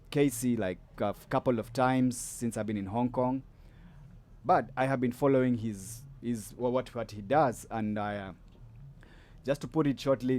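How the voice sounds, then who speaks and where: A man hosts a casual podcast chat with a guest. His voice is mellow and relaxed, and he rambles freely with fillers and restarts. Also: medium pitch. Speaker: podcast host.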